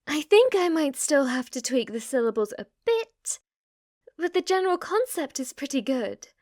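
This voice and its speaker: A woman's voice: sits high, sing-song, moderate pace, a little mellow, dry recording, studio quality, voice pushed up. A woman playing an obsessively devoted, submissive maid in an ASMR roleplay, speaking formally, reverently and flirtatiously to her master. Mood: eager, adoring.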